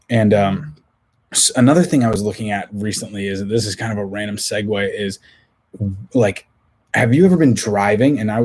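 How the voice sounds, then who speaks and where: A hoarse young man talks through his solo podcast in a loose, rambling way, circling back and restarting his sentences. His voice sits low and husky and barely moves in pitch. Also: a little mellow. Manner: rambling, many restarts and filler words. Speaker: podcast host. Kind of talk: casual solo podcast talk.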